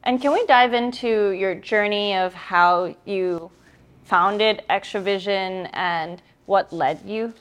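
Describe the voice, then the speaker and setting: A woman's voice: medium pitch, slow pace, a bit bright, clear, resonant tone. A woman hosting a podcast interview, asking questions and giving brief encouraging replies. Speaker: podcast host.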